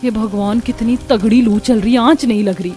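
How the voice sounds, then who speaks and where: A woman's voice: on the high side, fairly even intonation, moderate pace, dark tone, natural voice. A woman voicing a whiny, put-upon young bride who complains and exclaims, in a cartoon story. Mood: exasperated.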